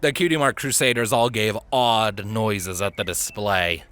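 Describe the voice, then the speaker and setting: A man's voice: lowish voice, steady tone, unhurried, fairly bright tone, smooth. A man reading a fan fiction story aloud as a scripted narrator.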